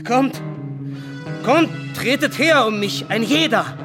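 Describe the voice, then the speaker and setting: A man's voice: extremely high pitch, expressive, slow pace, exaggerated voice. An actor playing a betrayed, embittered young nobleman turned robber captain in a German radio play, impassioned and declamatory. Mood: wild resolve. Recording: dramatic music under the voice. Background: music.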